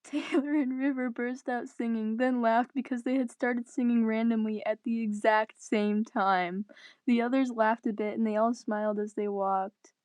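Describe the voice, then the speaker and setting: A woman's voice: on the high side, fairly even intonation, normal speed, darkish tone, clean tone, no echo. A young adult woman reading and chatting casually into a tablet microphone, in a self-deprecating, giggly manner. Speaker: amateur reader and narrator. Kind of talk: casual read-aloud of a story with self-mocking asides. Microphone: tablet's built-in microphone.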